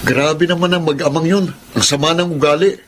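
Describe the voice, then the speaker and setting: A man's voice: fairly high pitch, fairly even intonation, a little fast, loud music behind the voice, lightly acted. A man playing a loyal, reassuring younger brother in a Filipino radio drama. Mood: indignant, consoling.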